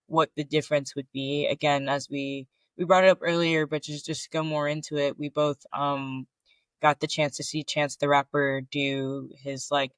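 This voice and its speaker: A man with a somewhat high voice, chatting casually as a podcast co-host. He talks in a loose, searching way, leaning on filler words as he thinks aloud. The sound is dry and close. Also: fairly bright tone, clean tone, no echo. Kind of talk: casual conversational chat.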